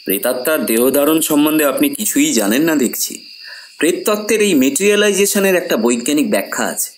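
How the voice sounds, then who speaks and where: অভিনেতার কণ্ঠে স্থির, বোঝানোর সুরে প্রেতচক্রের আয়োজক. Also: male voice, fairly high pitch, animated, fairly quick, a little hiss or hum, lightly acted, low-quality recording. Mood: calm explaining. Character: composed, persuasive male séance organiser. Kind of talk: audio horror drama acting.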